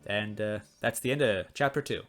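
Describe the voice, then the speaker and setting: A man's voice: lowish voice, normal speed, soft background music. A man reading a story aloud as an amateur host, with casual, self-deprecating asides.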